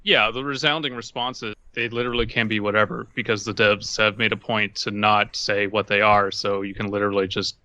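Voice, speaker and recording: A man's voice: fairly low pitch, flat delivery, fairly quick, few pauses, pushed and bright, no echo, lo-fi sound. A man co-hosting a podcast, ranting casually with comic, profane bluntness. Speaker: podcast co-host. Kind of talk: casual comedic chat.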